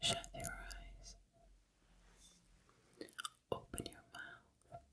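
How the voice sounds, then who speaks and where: Slow, whispering ASMR woman. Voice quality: breathy whisper. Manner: gentle, reassuring, instructing. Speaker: ASMR performer playing a nurse giving tests. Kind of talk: ASMR medical roleplay. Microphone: close ear-to-ear ASMR microphone.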